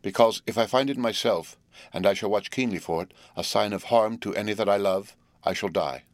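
Un hombre haciendo de una joven valiente y triste. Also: male voice, on the low side, fairly quick, no echo, natural voice. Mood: quiet resolve. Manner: quiet, earnest and resolute. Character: young woman, brave and sorrowful.

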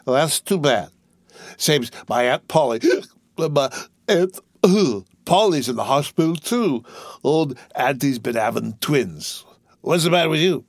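Man reading as a drunk, giggly rich boy, slurring and lurching, higher and louder than his narration, dry close sound. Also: animated, a little slow, no echo. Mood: tipsy. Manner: slurred, laughing, lurching speech. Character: drunk rich young man, about eighteen, merry.

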